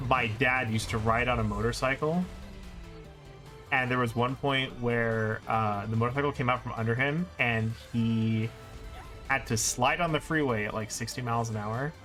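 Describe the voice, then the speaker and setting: A man with a bright, forward voice chats casually as he plays on a livestream. He talks in an animated, exclamatory way. Faint game music runs behind him. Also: medium pitch. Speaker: speedrunner streamer playing and commenting. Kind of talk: casual livestream gaming commentary.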